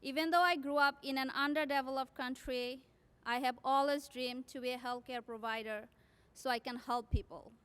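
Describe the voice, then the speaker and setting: A woman's voice: high-pitched, fairly even intonation, normal speed, a bit bright, clear, resonant tone. A woman from South Asia whose first language is not English, a graduating nursing student giving an earnest prepared commencement speech.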